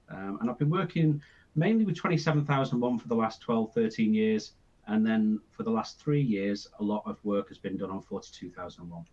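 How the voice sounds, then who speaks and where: Consultant man, a bit croaky, measured delivery with fillers, dull lo-fi call sound. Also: mid-range voice, a little mellow. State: slightly croaky from the flu. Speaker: standards consultant. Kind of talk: introducing himself on a webinar. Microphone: video call.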